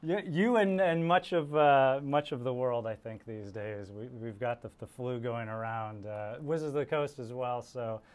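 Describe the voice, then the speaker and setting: A man's voice: mid-range voice, normal speed, hardly any pauses, smooth. Jokey male interview host. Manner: jokey, laughs easily. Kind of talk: casual interview chat.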